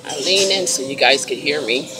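A woman's voice: rumbling low, moderate pace, over music, poor audio. A woman in her forties filming a casual workout vlog on her phone in a gym, chatting and encouraging her viewers in an easygoing way. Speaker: vlogger. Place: indoor gym, large room. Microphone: phone camera at arm's length, wired earbuds. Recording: loud gym noise behind.

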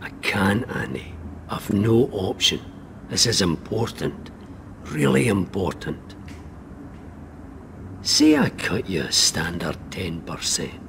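An actor playing a persuasive spy recruiter in a video game, informal, matey and insistent. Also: male voice, sits low, huge pitch swings, slow, creaky, long pauses, background noise, exaggerated voice. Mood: pressured. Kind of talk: video game cutscene acting.

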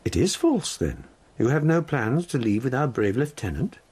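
An actor playing a haughty, sanctimonious palace priest in a radio drama, needling and moralising with a husky voice. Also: male voice, medium pitch, very animated, normal speed, darkish tone. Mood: sly needling.

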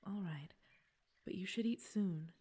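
A woman playing a gentle, coaxing fey queen with secret possessiveness in an ASMR roleplay, speaking breathily and formally, often near a whisper. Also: lowish voice, breathy edge. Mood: tender, soothing. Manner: slow, soothing, slightly formal speech. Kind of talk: ASMR roleplay audio drama.

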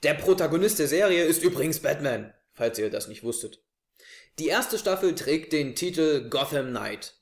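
A young man hosting a scripted review to camera from his living room. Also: a little high. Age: young adult. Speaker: host. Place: small living room, on a sofa. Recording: echoey room, camera mic.

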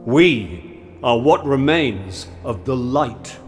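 A man's voice: medium pitch, lively intonation, very slow. A man playing a revered, defiant leader in a video game, giving a stately, solemn speech. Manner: stately, oratorical. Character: solemn, revered leader rallying his people, grand and defiant. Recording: long hall reverb, music behind.

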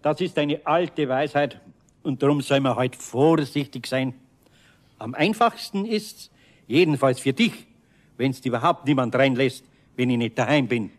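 An older actor playing a kindly, firm master carpenter in a 1970s radio play. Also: male voice, medium pitch, lively intonation, a little slow, close to an everyday voice. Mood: fatherly. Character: older kindly master carpenter, gruff but good-natured.

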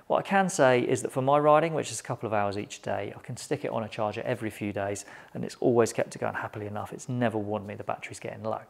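A middle-aged British man presents a product review to camera in a measured, matter-of-fact way, recorded on a lapel mic in a small indoor studio. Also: on the low side, creaky.

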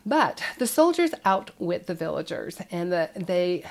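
A woman's voice: fairly low pitch, sing-song, measured pace, creaky. An older woman hosting a parenting show, talking to camera in a friendly storytelling way, indoors in front of shelves of children's books.

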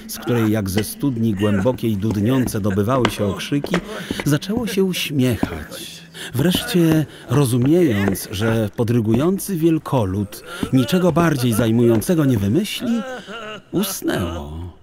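A man narrating a children's audiobook expressively, with theatrical, dramatic phrasing. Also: mid-range voice.

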